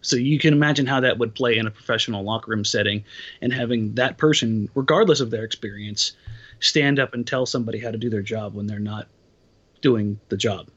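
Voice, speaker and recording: A man's voice: on the low side, brisk, fairly bright tone, lo-fi sound. A man who writes about basketball, chatting as a guest on a podcast with dry humor and careful analysis. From Portland area. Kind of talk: casual podcast chat.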